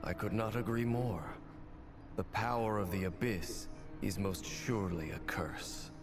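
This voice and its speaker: Low, slow, brooding game swordsman man acting. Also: music in the background, close to an everyday voice. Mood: solemn. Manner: solemn, deliberate. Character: brooding, world-weary male wandering swordsman. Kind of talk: video game cutscene acting.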